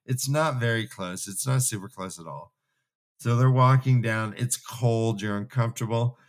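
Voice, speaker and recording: A man's voice: mid-range voice, normal speed. A man co-hosting a podcast, chatting casually and in a rambling, imaginative way about fantasy books. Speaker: co-host of a fantasy book re-read podcast. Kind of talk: casual book discussion.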